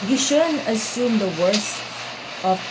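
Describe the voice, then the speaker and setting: A woman gameplay streamer reading along and commenting in a halting way. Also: on the high side, lively intonation, very noisy.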